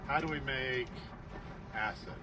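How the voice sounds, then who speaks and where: A man's voice: mid-range voice, measured pace, distant mic, background noise. A man talking to a streamer as a fellow player, hesitant and careful, with many restarts.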